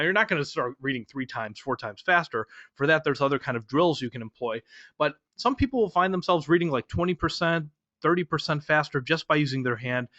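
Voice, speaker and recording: A man in his thirties from Chicago, first language Polish, giving a lesson in a relaxed, chatty way, often restarting his sentences. His voice sits at an ordinary middle pitch. The recording is dry and a little dull. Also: dry recording. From Chicago, USA. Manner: conversational, loose, with fillers and restarts. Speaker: speed reading instructor. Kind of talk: lecture to a class.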